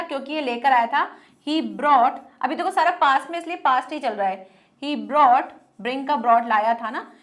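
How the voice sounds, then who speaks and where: A woman teacher giving an online class, dictating answers slowly while she writes and explaining in Hindi mixed with English. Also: sits high, a bit bright.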